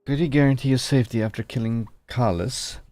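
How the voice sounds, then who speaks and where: A man giving casual, halting, wry commentary as he plays a video game. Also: mid-range voice, a little mellow, no echo. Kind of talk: casual game commentary, sometimes reading dialogue aloud. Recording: game music behind the voice. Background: game music.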